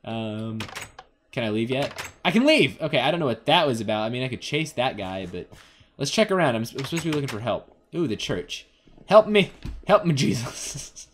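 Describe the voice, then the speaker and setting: Young guy doing a lets play, super up and down pitch, playful, rambles to himself and the characters. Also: sing-song, a little fast. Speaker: gaming video host. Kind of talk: casual Let's Play commentary on an adventure game.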